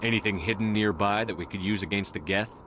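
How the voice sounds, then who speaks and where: Clear noise sits behind a man acting as a video game military commander. His voice is on the low side, stern and firm, with the no-nonsense manner of someone running an interrogation. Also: fast talker, a bit bright, lightly acted. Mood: stern, suspicious.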